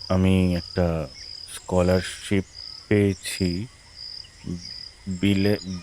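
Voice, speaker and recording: A hesitant young man, played by a man, speaks slowly in a low, mumbling voice. Faint background noise runs under him in an audio drama. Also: level tone, darkish tone.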